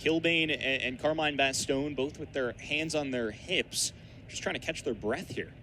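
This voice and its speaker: Bright, forceful young American color analyst man. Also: medium pitch, measured pace. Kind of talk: live American football analysis. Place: outdoor college football stadium. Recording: outdoor stadium broadcast, ambient background noise.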